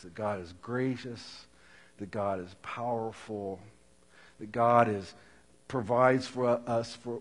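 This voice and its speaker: A man preaching a sermon in earnest, rising to loud emphasis and dropping to a hush. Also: mid-range voice. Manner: earnest, swings from loud emphasis to near-hushed. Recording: long hall reverb.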